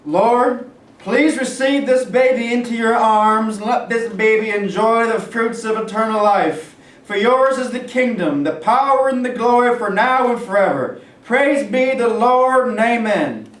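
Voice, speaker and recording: A man's voice: very high-pitched, unhurried, a bit bright. A man in a staged play reading, playing a humble, polite runaway who becomes a Union soldier, plainspoken and deferential. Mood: solemn prayer. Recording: echoey room from far off.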